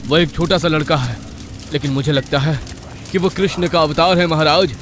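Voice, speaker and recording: A man voicing an evil, devil-worshipping school principal as a melodramatic animated villain, fervent and menacing. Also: fairly high pitch, moderate pace, background noise, close to an everyday voice. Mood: pleading. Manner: chanting, pleading, menacing.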